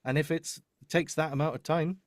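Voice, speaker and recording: A man's voice: fairly high pitch, steady tone, moderate pace, no echo. A man explaining his craft as a demo producer in a live workshop, emphatic and often repeating and reworking his phrases. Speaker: voice-over demo producer and script writer.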